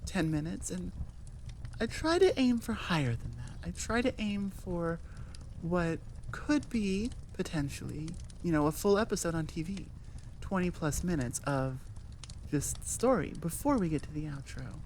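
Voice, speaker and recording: A woman's voice: fairly low pitch, big pitch swings, a little slow, a little mellow, slight background noise. A woman creator chatting casually in an outro about her story, rambling and loose with many fillers.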